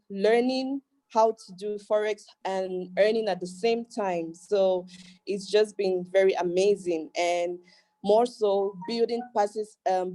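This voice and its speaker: Cheerful woman from Cameroon, mellow voice. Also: mid-range voice. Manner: cheerful and excited. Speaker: student guest. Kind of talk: testimonial on a video call.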